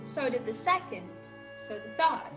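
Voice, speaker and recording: A woman's voice: on the high side, big pitch swings, measured pace, loud music behind the voice. A woman playing a young cabin boy who narrates his adventure in a scripted radio drama. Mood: storytelling.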